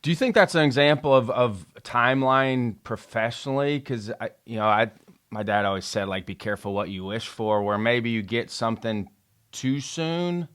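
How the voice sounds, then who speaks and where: A man's voice: mid-range voice, moderate pace, a bit bright, smooth. An American man hosting a podcast, asking questions and musing in a conversational interview style. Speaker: podcast host interviewing a guest.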